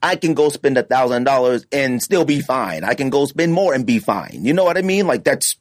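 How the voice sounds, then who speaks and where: Animated man debating on a podcast. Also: mid-range voice, a little fast, dry recording, clean studio sound. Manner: emphatic, repeats phrases for effect. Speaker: podcast host.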